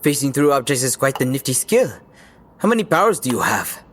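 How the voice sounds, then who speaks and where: A man's voice: medium pitch, very animated, brisk, a bit bright. A man playing a confident young field agent in an audio drama, speaking in a terse, casual way. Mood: brisk, curious.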